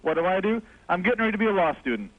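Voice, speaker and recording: A young man in his twenties with a fairly high voice. He chats casually as a phone-in caller, the sound dulled by a lo-fi telephone line. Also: very animated, racing. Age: 20s. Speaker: talk show caller.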